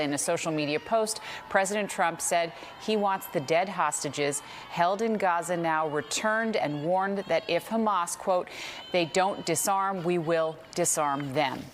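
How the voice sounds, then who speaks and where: A woman's voice: fairly low pitch, a little hiss or hum. An American woman working as a news anchor, reading a scripted newscast in a studio.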